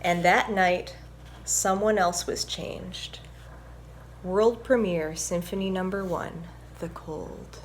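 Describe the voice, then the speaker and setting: A woman reading aloud and teaching music to young children in a gentle, encouraging, instructive manner. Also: fairly low pitch, steady tone, slow pace, slight background noise. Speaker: violinist presenting a children's story time. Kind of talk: picture book read-aloud and music lesson for children.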